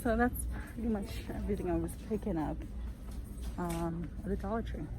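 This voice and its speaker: A young woman with a raspy voice chatting casually with many fillers for her vlog while out driving and shopping. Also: medium pitch, fairly quick, music in the background, low-quality recording. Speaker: vlogger, stay-at-home mom. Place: in a car on the road, then in stores. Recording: loud car and road noise. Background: traffic and car noise.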